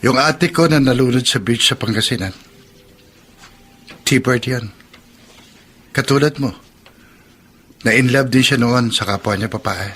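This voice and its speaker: An actor with a Filipino accent playing an earnest, forgiving boyfriend in a radio drama, speaking in a measured way over music. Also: male voice, mid-range voice, a bit bright, slightly rough. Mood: calm, understanding. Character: earnest, forgiving boyfriend, moralizing to his rival. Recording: background music under the voice.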